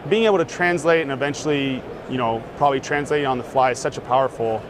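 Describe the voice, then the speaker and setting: A man casually demonstrating a gadget in an interview, relaxed and full of fillers. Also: medium pitch, quick pace. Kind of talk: casual demo talk. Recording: noisy outdoor street sound.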